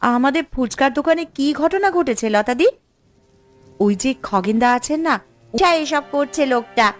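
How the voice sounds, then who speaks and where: A woman's voice: sits high, moderate pace, dry recording, faint music behind, close to an everyday voice. A woman playing an agreeable, eager young village sister in a cartoon, deferential to her elder. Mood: concerned. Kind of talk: Bengali cartoon story acting.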